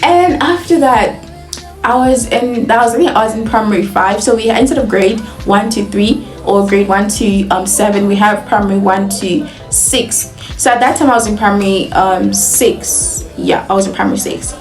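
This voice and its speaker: A woman's voice: mid-range voice, normal speed, soft background music. Chatty young Nigerian woman, echoey room. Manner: chatty, animated, laughs often, heavy use of "like". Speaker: vlogger. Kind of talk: casual storytelling.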